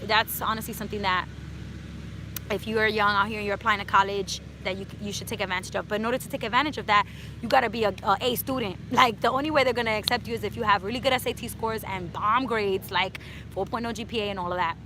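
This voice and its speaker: A woman's voice: mid-range voice, steady tone, fast, background noise. A woman of about thirty from New City, chatting casually in an outdoor Q&A vlog and dropping in Spanish words. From New York City. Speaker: personal finance YouTuber. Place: outdoors in hot sun.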